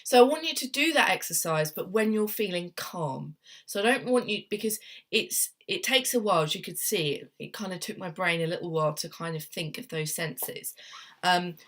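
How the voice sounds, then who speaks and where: A young woman with a slightly low voice talks through coping tips in a relaxed, gentle way, full of ums and little restarts. She has a cold and sounds a bit sniffly. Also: normal speed. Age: young adult. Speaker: therapist. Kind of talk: casual self-help talk to camera. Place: home room at a desk.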